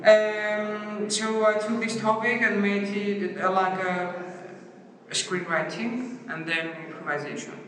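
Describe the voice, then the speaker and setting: A woman, an actress and director answering an interview question haltingly, pausing often to search for words. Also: fairly low pitch, noisy background. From Cyprus. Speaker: actress, director and workshop teacher. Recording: very echoey hall from far off, with crowd chatter.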